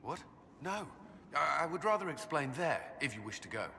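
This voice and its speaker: A man playing an earnest former Templar commander haunted by guilt, speaking haltingly and formally in a dramatic video game. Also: a little high, big pitch swings, creaky, bright, forceful tone, slight background noise, heavily acted. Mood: nervous, awkward.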